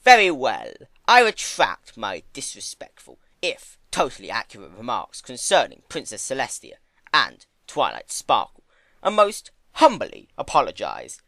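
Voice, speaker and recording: A man's voice: medium pitch, huge pitch swings, unhurried, creaky, bright, forceful tone, no echo, heavily acted. A man voicing a sardonic, pompous male trickster creature in a dramatic storybook reading, with a dry, mocking manner. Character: sardonic, theatrical male draconequus, chaotic trickster. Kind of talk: dramatic fan fiction reading.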